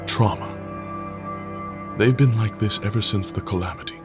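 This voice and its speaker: A man playing a brooding, guarded, troubled leader in an audio drama, speaking gravely and defensively. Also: fairly low pitch, normal speed, lightly acted. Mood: grave, somber. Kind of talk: full-cast audio drama acting. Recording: dramatic music under the voice.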